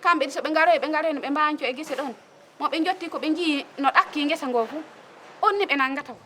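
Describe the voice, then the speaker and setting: Female witness, very high voice, unscripted account, faint ambient noise. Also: fairly quick, pushed and bright. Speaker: witness interviewee. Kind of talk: spontaneous testimony.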